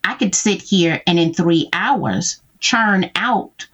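Woman guest, lower voice, lo-fi call sound, explanatory, chatty check-ins. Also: expressive, slow, fairly bright tone. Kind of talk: conversational interview.

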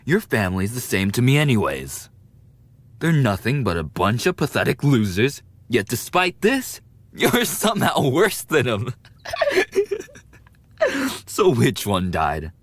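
A man's voice: medium pitch, wildly swooping pitch, unhurried, no echo. A man playing a cruel, mocking teenage delinquent bully in a dramatic roleplay audio, with a taunting, sneering, menacing delivery.

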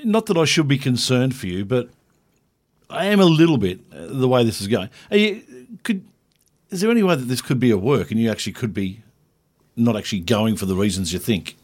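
A man co-hosting a podcast, teasing the guest with quick jokes. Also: mid-range voice, sing-song, darkish tone. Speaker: podcast co-host. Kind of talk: casual podcast banter.

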